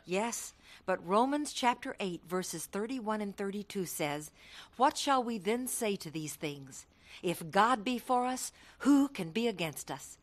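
An actress playing a steadfast middle-aged mother with an American accent, telling her testimony in an earnest, measured way for a radio drama. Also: medium pitch, expressive. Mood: devout. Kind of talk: radio drama narration and dialogue.

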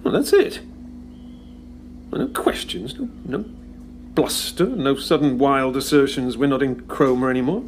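A man's voice: medium pitch, animated, unhurried, long pauses, a little hiss or hum, lightly acted. Man acting wry time-travelling hero. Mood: bemused. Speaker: actor in an audio drama. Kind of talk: audio drama acting.

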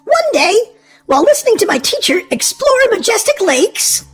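A woman hosting a children's read-aloud, narrating in an exaggerated, sing-song, expressive way for small children. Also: very high voice, moderate pace, soft background music. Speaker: host and reader of a children's read-aloud show. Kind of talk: animated children's storybook narration.

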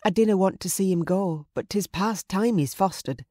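A protective woman as acted by an audiobook narrator, her voice lifted high. She speaks earnestly in old-fashioned dialect, like a mother in a historical romance, recorded close and dry. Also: moderate pace, a little mellow, dry recording, lightly acted. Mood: fond, wistful. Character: anxious Scottish Highland woman, protective mother.

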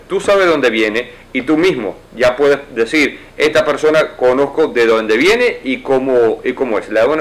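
A man's voice: mid-range voice, low-quality recording. Man in his forties answering interview questions. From United States. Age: 40s. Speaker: lawyer and judicial candidate. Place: wood-paneled office, indoors.

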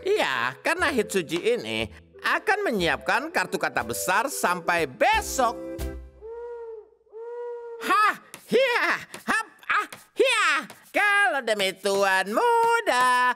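Woman doing a fussy old sheep butler, super formal, swoopy and slow, bright tone. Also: on the high side, wildly swooping pitch, faint music behind, heavily acted. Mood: eager. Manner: formal, eager to please, dramatic exclamations. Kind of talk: cartoon dub acting.

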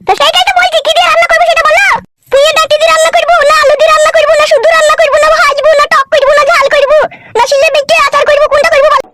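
A woman voicing a sharp-tongued, sassy, nagging village wife in a comic cartoon. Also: extremely high pitch, level tone, very fast, very noisy. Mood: nagging, mocking. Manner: bickering, taunting, sassy.

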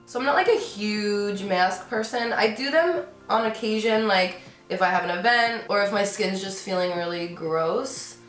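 A woman's voice: medium pitch, moderate pace, hardly any pauses, fairly bright tone. Young woman beauty vlogger, stuffy with a cold, chatty, roomy room with music behind. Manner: chatty, lots of "like", giggly. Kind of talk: casual chatty vlog. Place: bedroom with decorated shelves.

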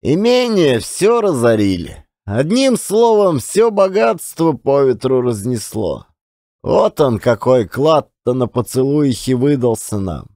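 A man voicing a pious old Siberian peasant telling his story in folksy, drawn-out, old-fashioned speech. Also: mid-range voice, sing-song, dark tone, dry recording, studio quality. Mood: earnest wonder. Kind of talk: dramatised audiobook storytelling.

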